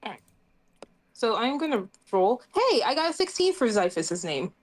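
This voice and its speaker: A woman with a voice a bit higher than average talks casually during an online tabletop game. She is chatty and playful, speaking as one of the players. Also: very animated, moderate pace.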